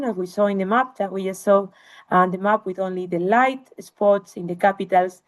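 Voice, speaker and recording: A woman researcher delivers an academic webinar lecture in a voice of ordinary, middle pitch. She talks listeners through her slides step by step in an explanatory way, and she is heard through a video call microphone.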